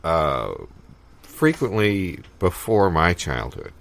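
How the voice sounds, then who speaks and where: Man as deadpan, muddled family historian. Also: low-pitched, slow pace, vocal fry, heavily acted. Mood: earnest, muddled. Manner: deadpan, hesitant, pedantic. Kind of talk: improvised deadpan comedy dialogue.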